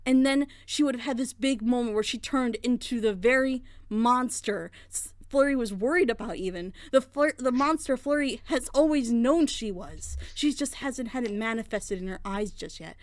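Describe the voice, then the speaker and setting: A woman's voice: high-pitched, expressive, normal speed, dry recording, clean studio sound. A woman in a group reaction show, critically breaking down a plot twist with rhetorical questions. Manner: critical, analytical, builds argument with rhetorical questions. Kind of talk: animated group reaction chat.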